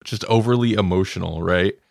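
Low-voiced man chatting casually, close and dry. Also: a little fast, gravelly, no echo. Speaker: podcast co-host. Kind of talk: casual review chat.

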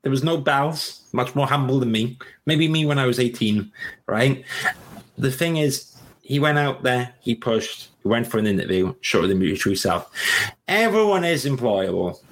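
A man hosting a webinar, giving casual, energetic job-search advice and often repeating himself. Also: medium pitch, moderate pace. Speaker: webinar host. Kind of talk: motivational talk.

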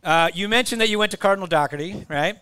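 A man's voice: high voice, fast, sharp tone, smooth, no room sound. A man co-hosting a radio show, casually setting up a quiz for a guest. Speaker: radio co-host.

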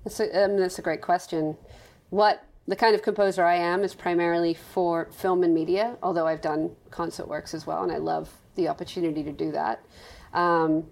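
A woman in her late thirties with a softened Australian accent, candidly describing her work as a film and game composer in an interview. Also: on the low side, steady tone, normal speed, vocal fry. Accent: Australian, softened toward American. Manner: reflective, candid, restarts phrases. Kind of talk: interview guest.

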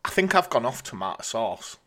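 A man's voice: mid-range voice, very animated, a little fast, fairly bright tone. A man with a British accent, talking casually as a podcast co-host. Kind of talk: casual chat.

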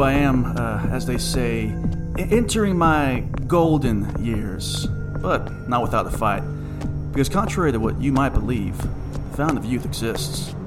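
A man playing a sinister aging villain in an audio drama, with taunting, folksy false courtesy. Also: medium pitch. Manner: folksy, taunting, stammering politeness. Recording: loud music under the voice. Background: loud music.

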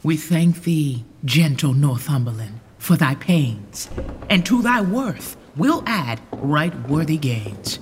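An actor playing a newly crowned king, measured, formal and commanding, in a Shakespearean radio drama. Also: fairly high pitch, big pitch swings. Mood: regal. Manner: measured, regal. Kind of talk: Shakespearean radio play acting. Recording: reverberant hall, faint noise.

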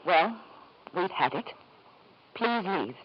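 A woman's voice: on the low side, expressive, slow pace, dull audio. An actress playing a bitter, weary wife and anxious mother in a 1950s radio drama, formal and emotional, on an old broadcast recording.

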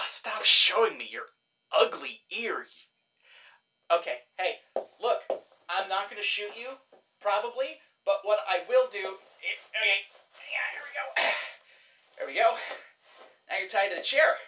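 Captor: snide, exasperated, animated. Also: female voice, medium pitch, measured pace, long pauses. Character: abrasive, impatient female captor.

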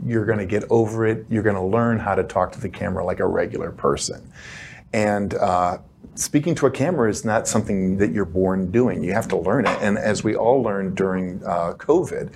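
A man's voice: on the low side, normal speed, vocal fry, a little mellow, slight background noise. A middle-aged man hosting a talk show, chatting eagerly with a guest and using many fillers. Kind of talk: conversational interview.

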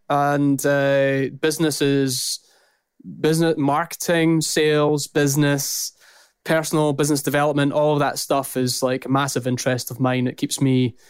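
A Scottish man in his thirties with a smooth, crisp voice, speaking casually as a guest over a remote call. He strings long lists together in a loose, chatty flow. The sound is close and dry, with no room around it. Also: mid-range voice, dry recording. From Scotland. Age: 30s. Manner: rambling, list-heavy, lively. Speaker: podcast guest, business founder. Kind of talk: casual interview talk. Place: his office.

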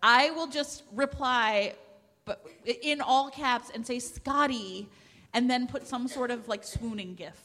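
A woman's voice: a little high, slow, pushed and bright. A woman chatting casually and playfully about romance novels as a podcast host, gushing and emphatic. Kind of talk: lively casual chat. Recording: echoey hall, background noise.

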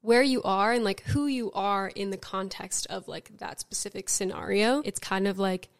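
Smooth-voiced young woman musing casually. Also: medium pitch, moderate pace. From California, Bay Area. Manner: reflective, many "like" fillers. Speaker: podcast guest. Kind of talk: casual podcast conversation.

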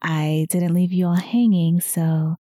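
A woman hosting a podcast speaks gently and encouragingly, her voice a bit strained because she is losing it. Her tone is warm and mellow. The recording is close and dry. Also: fairly low pitch, little pitch movement, slow pace, no room sound. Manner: softer than usual, encouraging. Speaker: podcast host and weight loss coach. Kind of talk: solo podcast talk.

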